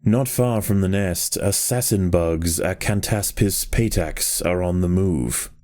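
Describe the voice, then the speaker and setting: A man narrating a video game like a grave nature documentary, in a measured, solemn and precise manner. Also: deep voice, darkish tone, faint music behind. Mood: solemn, ominous.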